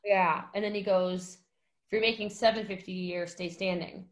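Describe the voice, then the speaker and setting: A woman in her thirties, a guest telling a story in casual chat, animated and acting out quotes with lots of fillers. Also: medium pitch, moderate pace.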